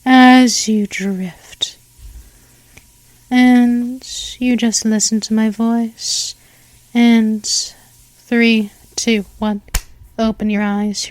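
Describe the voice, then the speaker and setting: A woman playing a seductive vampire mistress in a hypnosis roleplay, speaking softly and slipping into whispers. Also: on the high side, no room sound. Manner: slow, soft, hypnotic, with whispered stretches.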